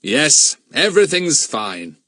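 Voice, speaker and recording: A man's voice: medium pitch, big pitch swings, moderate pace, dry recording, clean studio sound. A man voicing a hearty, fond grown-up friend with a teasing, jovial manner in an audiobook. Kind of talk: audiobook character voice.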